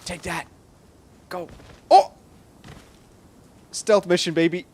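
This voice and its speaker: A man's voice: high-pitched, steady tone, slow pace, darkish tone, slightly rough. A young man giving casual, reactive, joking commentary as he plays through a video game. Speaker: gaming video host. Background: game music.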